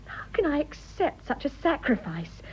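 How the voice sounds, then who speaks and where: An actress playing a torn, passionate young English wife in a radio drama, earnest and emotional, with a British accent. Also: female voice, high voice, sing-song, normal speed, a little mellow. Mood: torn, pleading. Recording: dull, muffled radio drama audio.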